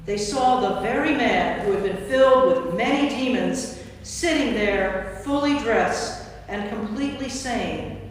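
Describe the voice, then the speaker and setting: A woman's voice: medium pitch, animated, unhurried, slight background noise. Woman narrating scripture, echoing church. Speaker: narrator, priest. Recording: distant mic, very echoey church hall.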